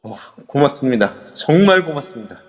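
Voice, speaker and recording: A man's voice: medium pitch, sing-song, moderate pace, lightly acted. A man voicing a determined young hero who speaks earnestly and flares up in an amateur audio drama. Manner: earnest, heated. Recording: long reverb.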